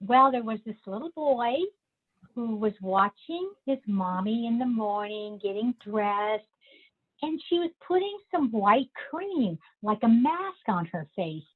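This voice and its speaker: A woman telling a joke as joke master at an online club meeting, lively in her storytelling. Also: a little slow, husky. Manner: animated storyteller, high childlike voice for the boy. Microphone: video call. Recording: tinny phone-like call audio.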